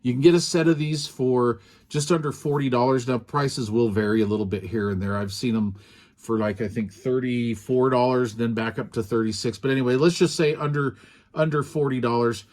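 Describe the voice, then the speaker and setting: A man's voice: medium pitch, fairly even intonation, a little fast, a little mellow. A man casually demonstrating and reviewing a small walkie-talkie in an easygoing, rambling way, with frequent ums and uhs. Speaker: radio product reviewer. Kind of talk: casual hands-on product demo.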